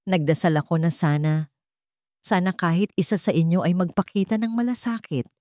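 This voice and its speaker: A woman's voice: fairly low pitch, fairly quick, a little mellow, no echo, clean studio sound, lightly acted. A woman voicing a betrayed elderly widowed father in an audio story, speaking solemnly, with emotion and emphasis. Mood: pained, earnest. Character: betrayed elderly widowed father, dignified, wounded but resolute. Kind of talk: dramatic audio-story dialogue.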